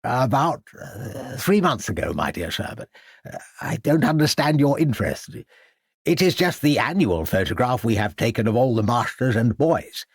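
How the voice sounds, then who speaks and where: A man's voice: medium pitch, big pitch swings, normal speed, slightly rough, no room sound, studio quality. A man voicing a fussy, flustered elderly headmaster who stammers and speaks pompously.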